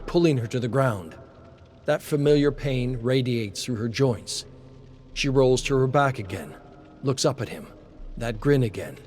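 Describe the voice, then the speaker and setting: A man's voice: medium pitch, a little mellow. A man reading a scripted dramatic story as a narrator. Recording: voice over background music. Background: music.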